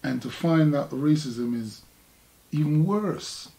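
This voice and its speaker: An older man from Jamaica with a Jamaican accent, an artist recalling his past in a halting, reflective conversation. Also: on the high side, vocal fry, warm tone, low-quality recording. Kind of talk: casual recollection in conversation.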